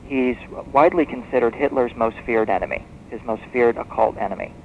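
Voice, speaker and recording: A man speaking as an expert guest in a radio interview, explaining history as a story in a measured, discursive way. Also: mid-range voice. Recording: muffled noisy shortwave radio tape.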